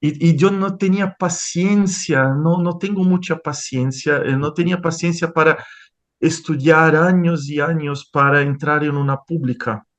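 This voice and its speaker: A man's voice: a little high, fairly even intonation, normal speed, fairly bright tone. A man from Brazil with a Brazilian Portuguese accent, speaking Spanish as a second language in an interview, hesitant, with many restarts and fillers. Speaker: interviewed scientist. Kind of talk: conversational interview.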